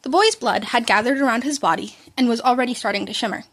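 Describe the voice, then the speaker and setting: A woman's voice: on the high side, fast talker, fairly bright tone. A woman reading fan fiction aloud in a casual, informal way, sometimes stumbling over her words. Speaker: amateur podfic narrator.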